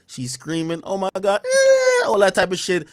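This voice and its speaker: A man rants on his livestream, defensive and rambling through heavy slang. His voice sits a little high for a man, and the mic sounds close and dry, with no room around it. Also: huge pitch swings, moderate pace, no echo. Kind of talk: livestream rant.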